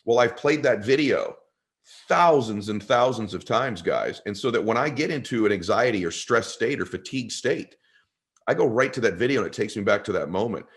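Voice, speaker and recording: Deep-voiced, emphatic male podcast host. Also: expressive, slightly rough. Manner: fast-talking, emphatic, addresses listeners as "guys". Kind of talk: conversational interview.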